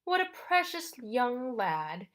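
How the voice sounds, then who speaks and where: A woman voicing an elegant, sinister male ferryman of the dead in an audiobook, with a British accent and a smooth, condescending drawl. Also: a little high, sing-song, slow pace, a bit bright, voice pushed up, full character voice.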